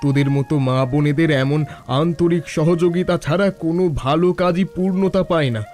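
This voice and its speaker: A man voices a kindly village schoolteacher in a cartoon, speaking in a slightly high voice. He is fatherly and encouraging, with music underneath. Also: fairly even intonation, fairly quick, darkish tone, natural voice.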